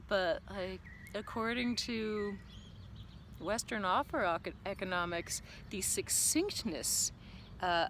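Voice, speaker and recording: A woman's voice: medium pitch, a little hiss or hum. A woman vlogging a reflective, earnest monologue to camera, musing aloud with lots of fillers. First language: not German. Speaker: vlogger and business coach.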